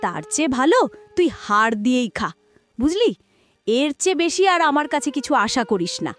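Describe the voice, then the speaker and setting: A woman voicing a cruel, scolding village stepmother in a cartoon story, with a spiteful, sharp-tongued manner. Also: high-pitched, big pitch swings, fairly quick, soft background music.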